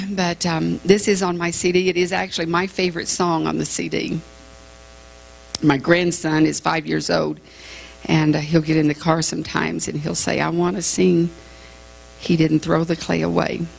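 Chatty woman speaking with a gravelly edge. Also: lowish voice, flat delivery, normal speed, poor audio. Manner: chatty, self-deprecating, with frequent ums. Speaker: guest speaker and singer. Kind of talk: church talk to a women's conference. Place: large church sanctuary. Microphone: stage microphone at a distance from the camera.

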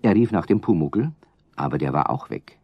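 A calm male storyteller with a lowish voice, speaking straight to the listeners. The sound is dry and close to the mic. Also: normal speed, no room sound, highs cut off. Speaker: narrator. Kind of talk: scripted storybook narration.

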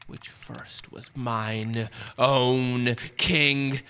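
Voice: medium pitch, steady tone, very slow, bright, forceful tone, slight background noise, exaggerated voice. An actor playing a bitter, resentful enslaved island monster, cursing and mocking theatrically in a Shakespearean radio play. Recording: long reverberant hall sound with music behind.

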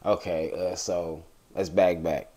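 A man's voice: deep voice, fairly even intonation, slow pace, dark tone. A man hosting an interview, asking easygoing questions and filling with casual asides. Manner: easygoing, fills with "man" and "you know". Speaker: host.

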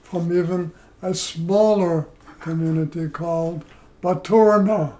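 An older man from Poland, seated at home, telling his life story in a slow, measured way with many pauses, recorded by a camera across the room. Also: a little high, steady tone, darkish tone. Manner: slow, measured, pausing between phrases. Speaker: interviewee. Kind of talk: oral history storytelling. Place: living room, indoors.

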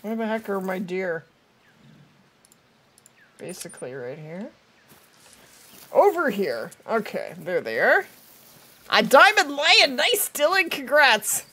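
Animated, bright-voiced woman streamer with a cold. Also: medium pitch, slight background noise. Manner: chatty, talking to viewers and to the game. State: has a cold, losing her voice. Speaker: video game livestreamer. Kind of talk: casual livestream gameplay chat. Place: indoors at a streaming setup.

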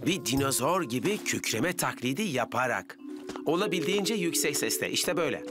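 A man's voice: mid-range voice, big pitch swings, brisk, lightly acted. A man voicing a friendly, reassuring young apprentice builder in a children's cartoon dub, with music behind. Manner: reassuring, encouraging.

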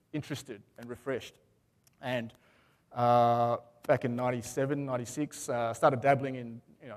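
A man, giving a talk on a conference stage in a large hall. He talks in a relaxed, rambling way with lots of ums and uhs. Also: medium pitch. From Australia. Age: 40s. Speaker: conference speaker.

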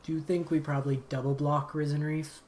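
A man, slightly raspy from a long day of talking, calmly commentating his card game as he plays, thinking aloud and muttering asides. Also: on the high side, a little fast, slight background noise. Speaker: game streamer. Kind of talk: casual gameplay commentary. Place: home streaming setup.

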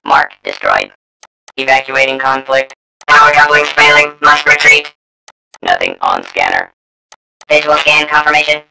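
A man's voice: fairly high pitch, huge pitch swings, normal speed, vocal fry, long pauses, music in the background, full character voice, low-quality recording. A man voicing a skittish robotic battle droid soldier in a video game, with a synthetic filtered timbre and clipped, stilted military phrasing. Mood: alarmed, panicky. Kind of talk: video game character voice lines.

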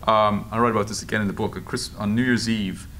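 An Irish man answering interview questions in a halting, informal, anecdotal way at a table microphone. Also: fairly low pitch. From Ireland. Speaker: journalist and author being interviewed. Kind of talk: conversational interview answers at a public discussion. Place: meeting room at a table. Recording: steady background room noise.

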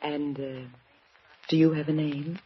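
A woman's voice: sits low, little pitch movement, unhurried, slight background noise. An actress playing an anxious, guarded woman who pleads for help in a 1940s radio drama. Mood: tense, pleading. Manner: urgent, pleading. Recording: dull old radio broadcast with music.

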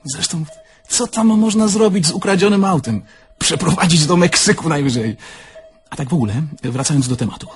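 An actor playing a naive, candid young Polish car thief in an audio drama, talking in a plain, conversational way. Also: male voice, a little high, expressive, fairly quick, husky, faint music behind. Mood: curious, challenging. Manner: conversational, plain-spoken, reminiscing.

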